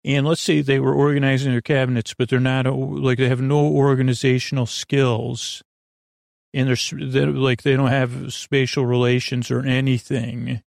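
A man with a creaky, soothing voice, hosting a bedtime podcast in a halting, meandering monologue full of tangents. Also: mid-range voice, little pitch movement, a little mellow, no echo, clean studio sound. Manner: lulling, soothing. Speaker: podcast host.

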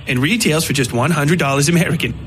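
A man's voice: on the high side, fast, a bit bright, slight background noise, voice pushed up, lightly acted. Man as a polite young salesman, formal patter. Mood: businesslike.

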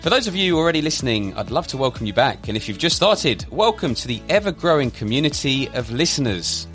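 A man hosting a podcast interview, upbeat and lively as he asks questions. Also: mid-range voice, fairly quick, faint music behind. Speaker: podcast host.

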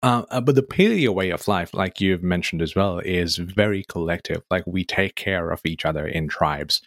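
Low-voiced guy from nepal, podcast host, chatty, lots of "like" and "um", dry close mic. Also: normal speed, no echo. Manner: casual and conversational, with frequent "like" and "um" fillers. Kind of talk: podcast interview.

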